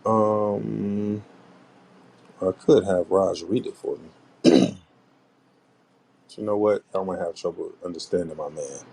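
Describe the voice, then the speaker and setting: A man's voice: low-pitched, fairly even intonation, creaky, a little mellow, slight background noise, poor audio. A man hosting a podcast in casual chat, rambling and joking, sometimes reading a book passage aloud. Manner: reads passages aloud haltingly. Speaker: podcast host.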